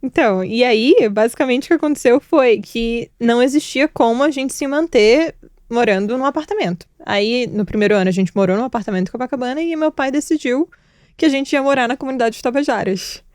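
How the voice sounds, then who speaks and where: A woman's voice: fairly high pitch, fairly quick, a little mellow, dry recording, studio quality. Candid young woman telling her story. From former Soviet Union. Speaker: guest. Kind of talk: casual conversational interview.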